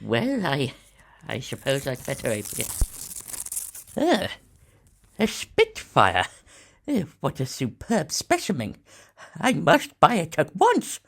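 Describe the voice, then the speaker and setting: An actor voices an eccentric, reclusive male tycoon for an audio story. He is fussy and musing, with a husky edge. His delivery is slow and swings widely in pitch. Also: huge pitch swings, exaggerated voice. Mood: delighted. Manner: musing, talking fondly to a pet cat. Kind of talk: audio drama character acting.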